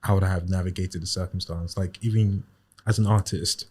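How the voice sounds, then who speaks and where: This is a man originally from Ghana, guesting on a podcast. His voice sits very low and deep, and he talks in a measured, reflective way, weighing each thought. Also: fairly even intonation, a little mellow, slightly rough. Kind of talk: casual podcast chat.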